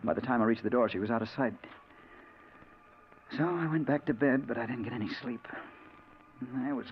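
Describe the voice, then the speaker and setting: A man's voice: medium pitch, brisk, natural voice. An American actor playing an obsessed, haunted investigator who tells his own story in a hard-boiled old-time radio mystery. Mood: weary, keyed up. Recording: muffled, noisy old radio recording.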